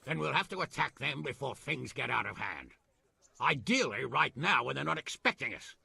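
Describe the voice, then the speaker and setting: An actor playing a blunt, sardonic medieval lord in a video game, gruff and hearty. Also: male voice, mid-range voice, sing-song, moderate pace, bright, forceful tone, no echo, exaggerated voice. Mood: blunt, commanding. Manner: gruff, sarcastic. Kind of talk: video game cutscene acting.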